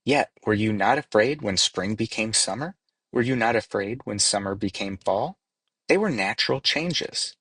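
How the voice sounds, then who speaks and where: A man voicing a wise, calm, reassuring male leaf in a storybook, explaining things matter-of-factly. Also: fairly low pitch, normal speed, breathy edge, dry recording, exaggerated voice. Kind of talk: storybook read-aloud.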